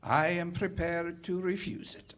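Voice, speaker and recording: An actor playing a suave, sly French police captain in a 1940s radio play, urbane and wry. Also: male voice, high voice, little pitch movement, a little slow, fairly bright tone. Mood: wry, assured.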